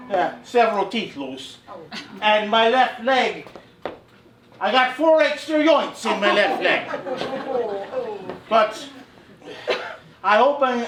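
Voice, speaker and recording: A man's voice: high voice, fairly bright tone, full character voice. A man playing a drawling Norwegian farmer spinning tall tales. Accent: Norwegian-American. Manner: slow, drawling tall-tale comedy. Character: old Norwegian immigrant farmer telling tall ski tales. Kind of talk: live vaudeville stage comedy. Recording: echoey hall, live audience laughing.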